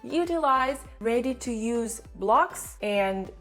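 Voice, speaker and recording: A woman with a fairly high voice addresses viewers as an online video host. She is a non-native English speaker and explains things in a direct, instructive manner. Music plays behind her voice. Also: lively intonation, takes it slowly, fairly bright tone. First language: not English. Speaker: online video host, digital marketing agency owner.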